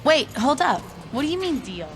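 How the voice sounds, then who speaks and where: An actress playing a laid-back, wisecracking young gang sidekick with a casual, teasing manner in a game. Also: female voice, high-pitched, sing-song, normal speed, fairly bright tone, a little hiss or hum, exaggerated voice, rough recording. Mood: casual, joking. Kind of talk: video game cutscene acting. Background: clear music.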